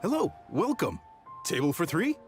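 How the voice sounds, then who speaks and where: Lively, hospitable restaurant chef. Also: male voice, on the high side, normal speed. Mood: welcoming. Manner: jovial, hospitable. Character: welcoming, proud, eager-to-please restaurant chef. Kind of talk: video game character acting. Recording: music playing behind.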